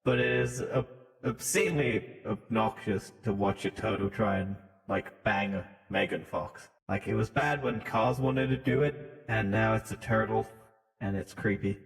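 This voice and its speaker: A man's voice: lowish voice, unhurried, gravelly, faint music behind. Male podcast co-host, irreverent, sweary banter, processed voice with long hall reverb. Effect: voice modulator. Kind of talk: casual joking banter.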